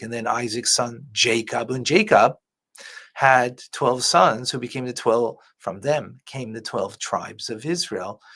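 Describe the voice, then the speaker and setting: A man's voice: medium pitch, sharp tone, husky. A man, a rabbi, giving earnest religious commentary as he reacts to a clip. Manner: earnest, preacher-like teaching.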